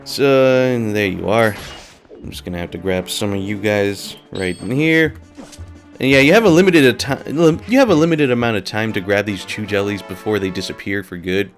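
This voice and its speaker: A man's voice: fairly low pitch, moderate pace, soft background music. Casual, slightly sick male gamer. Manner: casual, rambling, laughs often. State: sick, a bit nasal. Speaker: let's play host. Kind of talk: gameplay commentary.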